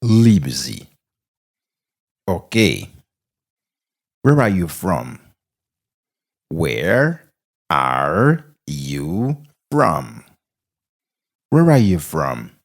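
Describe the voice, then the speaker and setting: Brief: low, gravelly Haitian-accented male teacher, drills phrases word by word. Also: sing-song. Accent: Haitian Creole. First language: Haitian Creole. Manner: repeats phrases word by word, very slowly. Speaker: English teacher. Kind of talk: slow drilling of English phrases with Creole explanations.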